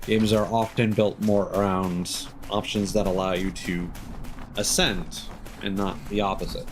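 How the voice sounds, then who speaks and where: A man's voice: low-pitched, level tone, a little slow. A man giving casual live gameplay commentary, thinking aloud in fragments. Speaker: game streamer. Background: game music.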